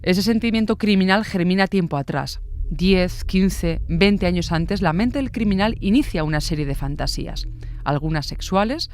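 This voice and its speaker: A woman narrating a true-crime podcast in a steady, explanatory way. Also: lowish voice, normal speed, a little hiss or hum.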